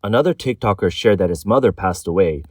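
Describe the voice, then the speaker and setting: A man narrates a news report in a low-pitched voice. The delivery is scripted, and the sound is close and dry with almost no room on it. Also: animated, a little fast, a little mellow, breathy edge, dry recording. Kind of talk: scripted news narration, reading translated accounts.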